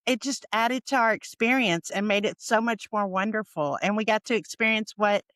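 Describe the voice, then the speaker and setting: A woman's voice: medium pitch, normal speed, fairly bright tone, no room sound, clean studio sound. A woman with an American accent hosting a podcast, talking in a casual conversational style. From United States. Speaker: podcast host.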